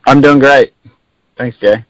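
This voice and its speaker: A man's voice: medium pitch, measured pace, dry recording. A man chatting casually as an interview guest, heard over a dull, lo-fi remote line. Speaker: hunting guide, podcast guest.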